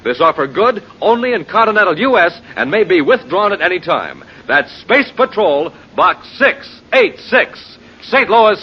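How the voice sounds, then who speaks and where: A man announcing and pitching to children in an emphatic, enthusiastic, folksy 1950s radio style. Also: big pitch swings, unhurried, few pauses, slightly rough. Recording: dull noisy 1950s radio recording.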